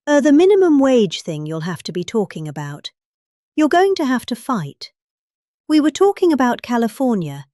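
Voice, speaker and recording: A woman's voice: medium pitch, a little mellow, no echo, clean studio sound. A middle-aged woman with a British accent giving a dramatic reading of a political speech with exaggerated emphasis. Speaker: reader.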